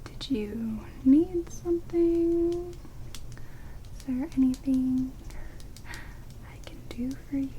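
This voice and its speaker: A woman's voice: sits high, takes it slowly, pauses often, rough recording. A woman playing a sardonic, reluctantly helpful vampire in a whispered ASMR roleplay, dry and rude, close on binaural mics. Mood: taken aback, dismissive. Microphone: close binaural microphones. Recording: binaural whisper with steady rain noise. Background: heavy rain.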